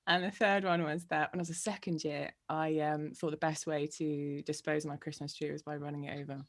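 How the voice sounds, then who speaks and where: Low-pitched young woman, guest on a video call, chatty, laughs a lot, self-deprecating. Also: flat delivery, a little fast. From Hungary. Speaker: guest author and PhD student. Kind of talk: casual interview chat.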